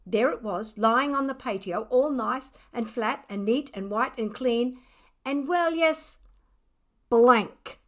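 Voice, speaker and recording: A woman voicing a smug, defiant male pet cat who makes indignant, mock-innocent excuses in an expressive children's storybook reading. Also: a little high, slow.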